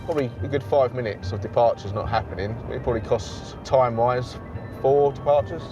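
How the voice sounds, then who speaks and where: Man, calm airfield officer, matter-of-fact radio talk, music behind. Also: mid-range voice, brisk, lo-fi sound. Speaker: airside operations officer. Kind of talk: radio calls and work talk. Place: outdoors on an airfield.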